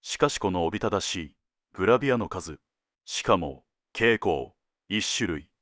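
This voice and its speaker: Senior male detective, played by an actor: deep, animated pitch, laconic dry humor, crime drama, close dry sound. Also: no room sound. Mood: dry, teasing. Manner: laconic, dry joking, deliberate phrasing. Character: senior male detective, wry, teasing, world-weary. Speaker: police detective at headquarters. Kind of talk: scripted detective audio drama.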